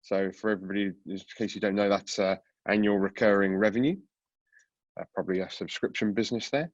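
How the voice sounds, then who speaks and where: A man with a cold, sounding congested, presenting a product webinar with a relaxed manner and many fillers. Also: lowish voice, fast talker, no echo, dull audio. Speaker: product coach giving a webinar talk. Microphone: video call.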